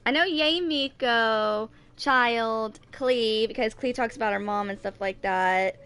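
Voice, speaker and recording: A woman in her twenties streaming a video game, talking casually in a rambling, halting way that circles back on itself. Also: on the high side, fairly bright tone, clean tone. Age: 20s. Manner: rambling, halting, repeats herself. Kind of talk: casual livestream chat with some reading aloud of game text.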